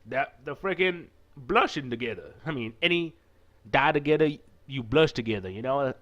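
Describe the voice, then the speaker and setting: Animated, crude-joking man narrating a game. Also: mid-range voice, normal speed, muffled recording. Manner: casual, crude, swearing, jokey. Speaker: let's play host. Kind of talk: visual novel playthrough, reading aloud with casual asides.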